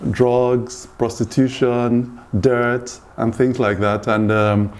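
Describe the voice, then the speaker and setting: A man hosting a conversational interview, speaking in a measured, reflective way as he sums up and asks questions. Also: medium pitch, level tone, darkish tone. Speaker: interviewer, host.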